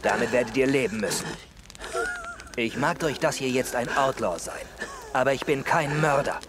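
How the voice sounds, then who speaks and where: Guy acting cocky taunting outlaw in an audio drama, lively swinging delivery, clear background ambience. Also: mid-range voice, moderate pace, background noise, natural voice. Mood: resolute. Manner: mocking, taunting, theatrical. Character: bold, mocking male outlaw soldier with a sense of honour. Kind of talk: German radio drama acting.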